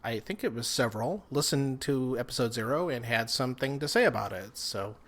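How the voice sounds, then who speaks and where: A man's voice: medium pitch, animated, fairly quick, fairly bright tone. A man co-hosting a casual podcast, with dry, wry humor and frequent laughs. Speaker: podcast co-host and editor. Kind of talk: casual chat.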